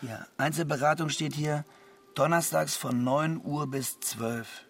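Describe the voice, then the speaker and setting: A man's voice: fairly high pitch, steady tone, unhurried. An actor playing an earnest, conscientious, slightly touchy middle-aged man in a naturalistic radio drama, methodical in manner.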